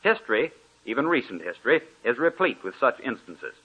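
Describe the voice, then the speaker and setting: A man's voice: medium pitch, sing-song, a little fast, pushed and bright, slightly rough. A man giving a formal, declaiming scripted sponsor announcement on old-time radio. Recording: tinny old radio broadcast.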